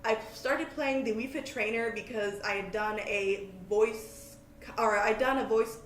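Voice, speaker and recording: A woman hosting a podcast in casual, chatty talk, scatterbrained, jokey and quick to poke fun at herself. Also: fairly high pitch. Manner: scatterbrained, self-deprecating, jokey. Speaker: podcast host. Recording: echoey room, faint background noise.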